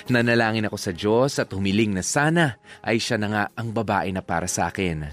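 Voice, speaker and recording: A man's voice: on the low side, close to an everyday voice. A man playing a lovestruck storyteller, narrating his past romance in a reflective, sentimental radio drama style. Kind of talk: scripted dramatic first-person narration.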